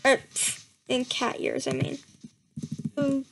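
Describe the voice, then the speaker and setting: A young woman with a high voice reads a fan story aloud in a slow, unhurried way. She breaks off for sarcastic asides and frequent giggles. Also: little pitch movement, gravelly, background noise. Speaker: reader. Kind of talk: mocking dramatic reading.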